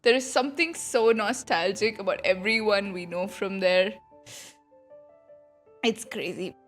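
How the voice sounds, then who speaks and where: A woman's voice: mid-range voice, measured pace, fairly bright tone. A young woman chatting casually on a livestream as she reacts to a game, gushing and emotional in an informal way. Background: faint game music.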